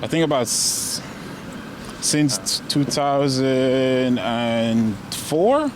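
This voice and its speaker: Relaxed guy from guyana, medium voice, short easy answers, loud-ish traffic noise around. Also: mid-range voice, very slow. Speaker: interviewee. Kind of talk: casual street interview. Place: outdoors on a city street.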